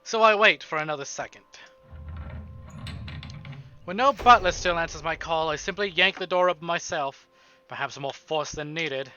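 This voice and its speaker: A man's voice: a little high, moderate pace, pauses often, sharp tone, clean tone, dull audio. A man live-streaming a visual novel, reading it aloud dramatically with casual, joking, sweary asides, over music. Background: game music.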